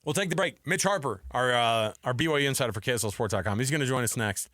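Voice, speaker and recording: A man hosts casual sports talk on the radio. His voice sits at an average pitch, and he is close on the microphone in a very dry studio. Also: lively intonation, quick pace, a bit bright, no echo. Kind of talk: casual sports talk, running a list quiz.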